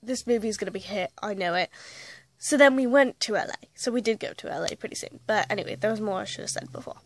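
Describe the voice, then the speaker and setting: A woman's voice: mid-range voice, quick pace, a little hiss or hum. A woman telling a rambling story in a casual vlog, correcting herself as she goes, with a slight cold and a voice that is starting to go. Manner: rambling, self-correcting, chatty. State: slight cold, losing her voice. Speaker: vlogger playing an invented actress.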